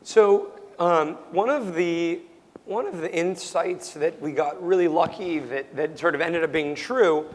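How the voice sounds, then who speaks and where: A young man, a startup founder, answering interview questions loudly and almost yelling, with plenty of ums and restarts, his voice worn and cracking. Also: a little high, unhurried, long reverb tail, low-quality recording. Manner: loud, nearly yelling, full of ums and restarts. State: losing his voice, prone to cracking.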